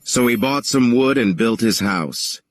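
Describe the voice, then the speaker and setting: A man's voice: mid-range voice, lively intonation, unhurried. A man narrating a scripted folktale for children. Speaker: story narrator.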